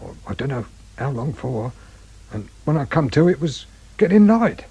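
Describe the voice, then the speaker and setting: An actor playing a muddled, frightened suspect in a radio drama, halting and pleading, with an English accent and a husky, rough voice. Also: male voice, medium pitch, sing-song, warm tone, lo-fi sound, full character voice. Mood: anxious confessing. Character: frightened, muddled male petty suspect confessing to a killing.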